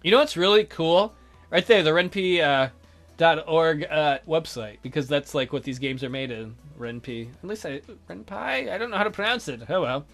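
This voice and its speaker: A man hosting a let's play, reading a visual novel aloud with expressive, wisecracking commentary. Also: fairly high pitch, moderate pace. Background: music.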